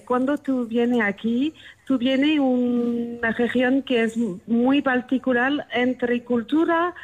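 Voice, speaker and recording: A woman's voice: on the high side, poor audio. A woman with a French accent, a native French speaker, answering interview questions hesitantly while she searches for words. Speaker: tourism office guest. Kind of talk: interview answers in a second language.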